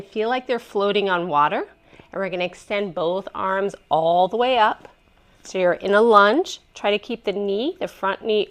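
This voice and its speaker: A woman with a head cold guides a gentle yoga flow, her voice stuffy and congested. She gives soft, encouraging cues and lets out small sighs of pleasure as she stretches. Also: medium pitch. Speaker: yoga instructor. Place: messy living room at home.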